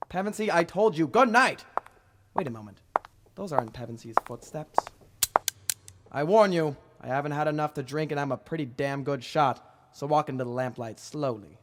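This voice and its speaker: A man's voice: mid-range voice, moderate pace, slight background noise, lightly acted. A man playing a brave, cocky police inspector in an old-time radio drama, theatrical and wry. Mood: wary. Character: dapper, cocky male police inspector hero, hard-drinking and brave. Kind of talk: 1940s radio acting.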